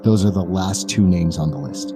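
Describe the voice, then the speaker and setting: A man improvising narration as a tabletop game master in a halting, deliberate, measured manner. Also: deep voice, music in the background.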